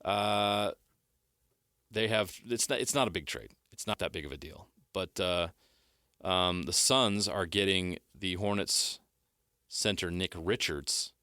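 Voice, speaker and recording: A low-pitched man with a bright, forceful edge hosts a sports radio show from the studio. He talks briskly, steering the segments and rattling off news and injury reports. The sound is dry and close on a studio microphone. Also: clean tone, dry recording.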